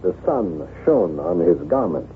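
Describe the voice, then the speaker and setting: Gushy haunted chateau lord, old radio drama, swoopy gravelly voice, tinny hissy sound. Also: male voice, low voice, big pitch swings, a little slow, exaggerated voice. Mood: uneasy, secretive. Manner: theatrical, effusive, gushing over his bride. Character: besotted newlywed lord of a chateau, haunted by guilt. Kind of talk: 1970s radio drama acting. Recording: tinny, band-limited old radio recording with hiss.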